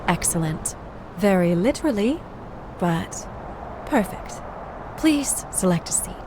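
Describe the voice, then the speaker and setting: An actress playing a polite clinical guide in a video game, giving formal, measured, soothing instructions. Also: female voice, mid-range voice, lively intonation. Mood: calm, clinical. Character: polite clinical female guide running a memory synchronization test. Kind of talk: scripted game dialogue, procedural instructions. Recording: clear vehicle and ambient noise behind.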